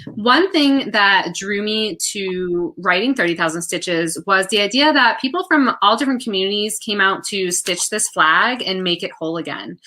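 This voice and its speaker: A woman with a clearly bright, forward voice delivers a prepared video message. She is articulate and earnest, speaking at a medium pitch as an author addressing viewers. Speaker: children's book author and art teacher.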